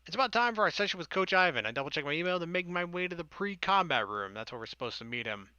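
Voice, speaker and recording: A man's voice: on the high side, animated, quick pace, pushed and bright, clear, resonant tone, no echo. A man reading a visual novel aloud as a let's player, breaking into casual, sarcastic commentary.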